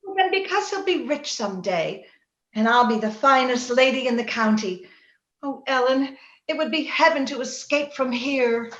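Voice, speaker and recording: Woman acting high-pitched young gentlewoman, proud, theatrical, romantic, radio play, roomy and slightly dull recording. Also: lively intonation, normal speed. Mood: excited, evasive. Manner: theatrical, emotional, haughty. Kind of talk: old-time radio drama acting. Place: indoor room, recorded remotely over video call.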